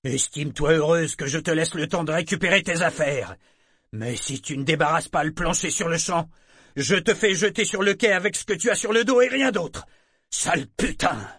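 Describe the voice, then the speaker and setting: A man's voice: on the high side, normal speed, fairly bright tone, slightly rough, no echo, studio quality, pitched above the performer's own voice. A man voicing a furious, contemptuous ship's captain in an audiobook, harsh, accusatory and snarling. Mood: roaring fury. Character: hard, furious, contemptuous male ship captain. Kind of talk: audiobook character acting.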